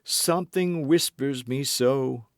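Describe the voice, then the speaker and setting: A man's voice: a little high, sing-song, a little slow, no echo, studio quality, pitched above the performer's own voice. A man reading an audiobook, voicing a boastful, scheming musketeer in a raised, grand, wheedling voice. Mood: mock-melancholy. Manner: grand, wheedling, mock-melancholy.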